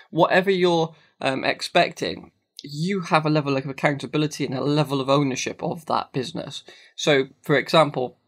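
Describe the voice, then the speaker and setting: A man with a cold, talking casually to camera about business responsibility, repeating phrases and filling pauses with ums. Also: on the high side, a bit bright. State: has a cold, sniffly. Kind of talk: casual advice monologue.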